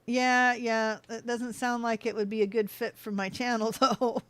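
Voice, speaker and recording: A woman's voice: on the high side, level tone, measured pace, fairly bright tone, no echo. A woman streaming a game, chatting casually with her viewers, easily sidetracked and laughing often. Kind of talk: casual livestream chat while playing.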